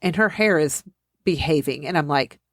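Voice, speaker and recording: A woman's voice: sits low, expressive, moderate pace, dry recording, clean studio sound. A woman co-hosting a podcast, recapping a movie in casual chat, laughing often and acting out the characters' lines. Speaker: podcast co-host.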